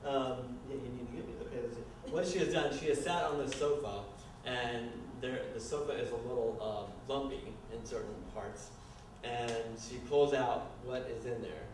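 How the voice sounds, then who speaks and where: A man narrating a staged screenplay reading in a loose, improvised, halting way, often stumbling over his words. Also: medium pitch. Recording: echoey room, distant mic, noisy.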